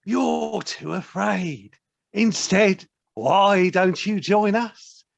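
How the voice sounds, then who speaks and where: An actor playing a scheming, bullying chief weasel in an audio play, taunting and contemptuous, with a gravelly voice. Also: mid-range voice, very slow, heavily acted. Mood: taunting, sly. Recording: home recording over video call.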